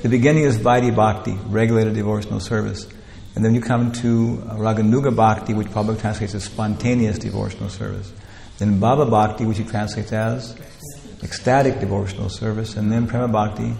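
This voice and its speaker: A man gives a loose, rambling talk on scripture, leaning on fillers and starting phrases over. His voice has a gravelly edge. The sound is roomy, with a long hall echo. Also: on the low side, flat delivery, fairly quick, darkish tone. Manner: rambling, many "you know" fillers and restarts. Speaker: teacher leading a scripture class. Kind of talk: informal spiritual lecture.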